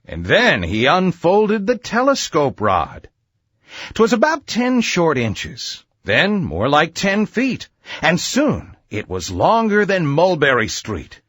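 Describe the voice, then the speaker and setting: Husky, highly animated male storyteller. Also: takes it slowly, dry recording, clean studio sound. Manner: theatrical, sing-song verse delivery. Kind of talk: rhyming children's story narration.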